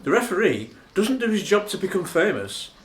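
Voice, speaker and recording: An actor playing a formal, indignant dinner speechmaker in a radio play, declamatory and emphatic. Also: male voice, sits high, sing-song, moderate pace. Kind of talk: theatrical radio play monologue. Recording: roomy, echoing sound.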